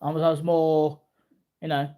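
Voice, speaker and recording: Guy in his 40s, kinda high voice, rambling and bragging, keeps repeating himself, casual vlog chat. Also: monotone, normal speed, mellow. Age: early 40s. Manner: repetitive, boastful. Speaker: martial arts vlogger. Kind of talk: rambling casual talk to viewers.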